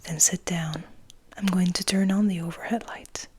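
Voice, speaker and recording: A woman reading a thoughtful, commanding male vampire from a gothic novel in a hushed, formal whisper. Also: fairly low pitch, fairly even intonation, normal speed, breathy edge. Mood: firm. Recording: noticeable background noise.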